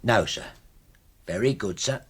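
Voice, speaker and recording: An actor playing a deferential working-class English butler in a radio mystery, with a husky voice and homely, chummy manner. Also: male voice, on the low side, a little slow. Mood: anxious, deferential. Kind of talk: British radio mystery drama acting.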